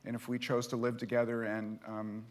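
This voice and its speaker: Man, policy panelist, careful and halting delivery, conference setting. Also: mid-range voice, completely flat delivery, darkish tone. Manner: measured, hesitant with fillers. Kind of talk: policy forum discussion. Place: conference hall.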